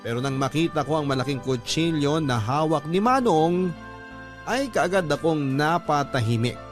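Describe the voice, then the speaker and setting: A man narrating a listener's letter in first person, in a steady, storytelling manner. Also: on the high side, moderate pace. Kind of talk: scripted narration. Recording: music bed behind narration.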